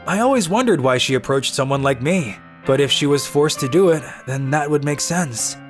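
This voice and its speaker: A man's voice: a little high, lively intonation, normal speed, natural voice. A man voicing a self-deprecating young office worker, narrating and acting out his own manga story. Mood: reflective. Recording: music under the voice.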